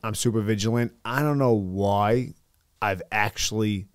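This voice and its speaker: A man in his thirties from the Bronx with a New York accent, telling his story as a podcast guest in a studio on a boom mic, animated with quick asides. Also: clear, resonant tone, no room sound. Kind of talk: casual storytelling. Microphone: studio mic on boom arm, headphones.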